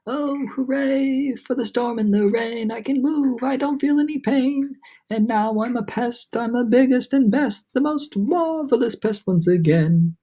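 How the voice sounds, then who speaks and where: A woman voicing a boastful, excitable male centipede who shrieks and sings in a sing-song way. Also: a little high, unhurried, voice pushed up. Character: shrill boastful male centipede, giddy and cocky.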